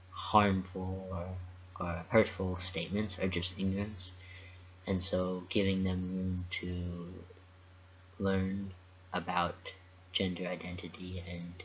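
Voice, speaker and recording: Low-voiced young man, dull lo-fi recording. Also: fairly bright tone, slight background noise. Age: young adult. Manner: thoughtful, measured, with frequent pauses. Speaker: vlogger. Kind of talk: casual reflective vlog monologue.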